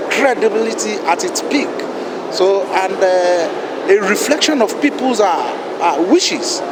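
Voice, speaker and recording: A man with a Nigerian accent giving a grandiloquent, celebratory impromptu statement to the press as a political supporter. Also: high-pitched. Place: outdoors near traffic. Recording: very noisy outdoor street with traffic.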